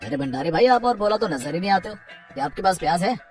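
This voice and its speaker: A woman's voice: lowish voice, lively intonation, quick pace, music in the background, lightly acted. A woman voicing an insistent, grumbling male market customer in a children's cartoon story. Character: onion-craving male market customer, low-voiced, insistent.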